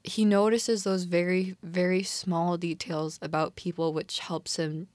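Low-pitched young Canadian woman chatting casually. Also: flat delivery, a little slow, darkish tone, no room sound. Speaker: podcast co-host. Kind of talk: casual chat discussing a TV drama.

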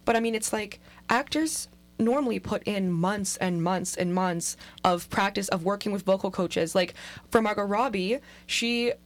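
Young woman podcast cohost, chatty, tells stories all animated. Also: medium pitch. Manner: animated storyteller, repeats words. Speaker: podcast co-host. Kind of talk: casual chat.

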